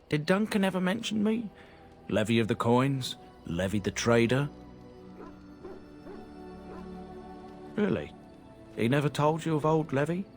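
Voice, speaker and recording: An actor playing a talkative, ingratiating travelling trader in a video game, speaking in a folksy storytelling way. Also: male voice, mid-range voice, lightly acted. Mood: eager, friendly. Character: chatty, ingratiating male travelling trader with a family grievance. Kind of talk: video game dialogue acting.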